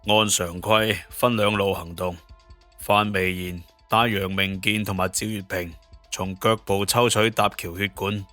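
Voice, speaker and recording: A man's voice: deep voice, bright, forceful tone. A man playing a commanding hospital director and lead surgeon in a Cantonese audio drama, giving methodical orders with authority.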